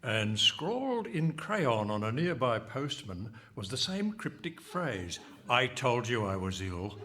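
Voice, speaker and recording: A man's voice: medium pitch, very animated, slow, sharp tone, exaggerated voice. A man playing an officious radio announcer, narrating a live comedy with formal mock seriousness. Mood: mock-solemn. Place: live stage performance in a hall. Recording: faint noise, live stage.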